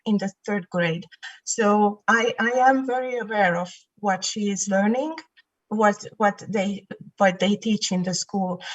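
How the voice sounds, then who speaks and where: A woman speaking as an election candidate through muffled, dull video call audio. Her voice sits at a middle pitch for a woman, and she answers in a measured way, pausing often with fillers. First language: not Finnish. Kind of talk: online panel debate answer.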